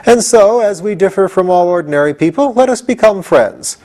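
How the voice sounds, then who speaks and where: A man's voice: sits high, expressive, brisk, darkish tone, voice pushed up. A man voicing a pompous, fussy living scarecrow king in a storybook, with a grand, questioning manner. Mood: pleasant. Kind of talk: storybook character reading.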